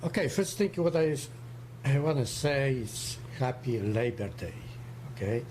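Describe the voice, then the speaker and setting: Man, 70s, strong Polish accent, hesitant and searching for words, mellow, faint background hum. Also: medium pitch, lively intonation. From Poland. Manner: halting, searching for English words. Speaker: co-host. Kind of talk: casual livestream talk.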